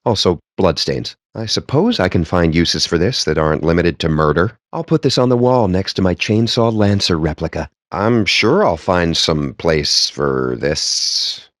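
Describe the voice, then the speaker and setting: An actor playing a cocky, foul-mouthed, nerdy poker player in a video game, with a sardonic, smug and theatrically wordy delivery. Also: male voice, sits low, lively intonation, a little slow, hardly any pauses, no echo, clean studio sound. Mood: dryly confident. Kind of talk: video game character banter.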